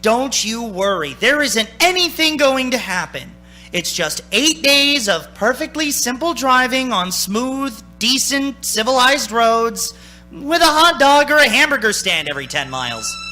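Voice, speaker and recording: A man's voice: very high-pitched, big pitch swings, measured pace, pushed and bright, soft background music, exaggerated voice. An actor playing a haunted man of thirty-six who tells his story in dramatic old-time radio style. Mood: reassuring, cheerful. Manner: urgent, dramatic first-person narrating.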